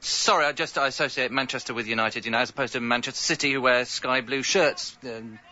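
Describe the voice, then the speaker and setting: A man's voice: mid-range voice, fairly even intonation, a little fast, pushed and bright, lo-fi sound. An English man playing a hapless, evasive young father, narrating and talking in a radio comedy with a hesitant, wry manner. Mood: flustered. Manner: hesitant, self-justifying, wry. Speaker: narrator and lead character.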